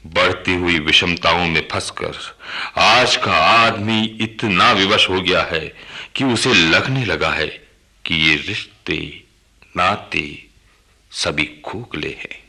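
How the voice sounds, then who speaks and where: A proud, stubborn king in a radio play. The voice is very deep and husky, with a hard forceful edge. Each phrase comes slowly, with solemn, measured emphasis. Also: exaggerated voice. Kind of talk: Hindi radio drama acting.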